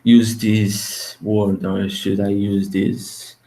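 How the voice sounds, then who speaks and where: A man with Portuguese as his first language speaks English in a halting, searching way, often restarting his sentences. His voice sits low and carries a gravelly edge. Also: flat delivery, dark tone. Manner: halting, many restarts and fillers, laughs often. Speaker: guest author and translator. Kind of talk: casual podcast interview.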